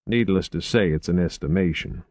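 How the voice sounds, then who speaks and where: A man voicing a cool, refined male agent who speaks in a calm, measured way. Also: low voice, no room sound.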